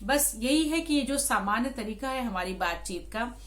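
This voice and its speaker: A woman's voice: fairly high pitch, animated, normal speed, fairly bright tone. A woman talking to viewers in an explanatory, conversational vlog style, restating points for emphasis. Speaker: radio jockey and vlogger.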